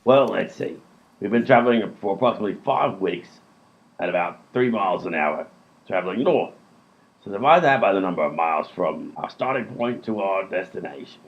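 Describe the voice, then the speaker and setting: A man playing a pedantic, clueless robber in a comic radio play, reasoning aloud in a deliberate, long-winded way. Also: low-pitched, animated. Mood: pedantic, deadpan. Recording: muffled lo-fi sound, music behind.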